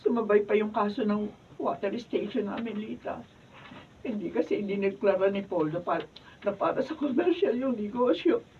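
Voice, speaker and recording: A woman's voice: medium pitch, normal speed, natural voice. A woman acting a desperate, troubled wife and mother in a Filipino radio drama. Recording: distant, phone-like, noisy studio audio.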